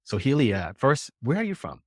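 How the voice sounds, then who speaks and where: A man's voice: medium pitch, very animated, normal speed, dry recording, studio quality. A middle-aged American man hosting a podcast interview, upbeat and effusive in a casual conversational way. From United States. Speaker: podcast host.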